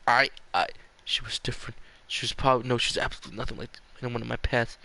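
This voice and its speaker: A man voicing an earnest, guilt-ridden young man from a visual novel, halting and stammering in a subdued way. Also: mid-range voice, steady tone, gravelly, sharp tone. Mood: anxious, earnest. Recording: music behind the voice.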